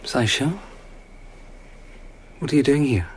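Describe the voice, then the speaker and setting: An actor playing a seductive wandering storyteller in a radio drama, speaking softly and earnestly. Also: male voice, mid-range voice, lively intonation, slow, slight background noise. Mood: gentle, concerned. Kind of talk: intimate radio drama acting.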